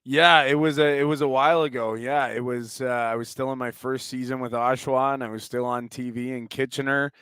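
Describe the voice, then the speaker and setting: A male sports announcer with a clear, resonant voice at a middle pitch speaks as a guest. He gives detailed, conversational analysis, and the recording is dry with little room sound. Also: little pitch movement, a little fast, hardly any pauses, fairly bright tone, no room sound. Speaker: hockey play-by-play announcer, show guest.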